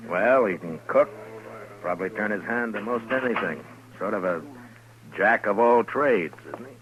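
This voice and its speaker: An actor playing a tough, wry frontier marshal, speaking in a dry, laconic way in 1950s radio western style. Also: male voice, fairly low pitch, animated, moderate pace, natural voice. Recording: dull, hissy 1950s radio recording.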